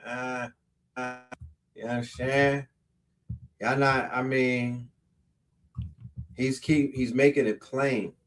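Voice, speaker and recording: A man's voice: medium pitch, level tone, takes it slowly, long pauses, faint music behind, poor audio. A male host comments on a clip, driving his points home with emphatic repetition. His voice sits in a plain middle register and keeps a preachy, insistent tone. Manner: emphatic, preachy, repeats himself.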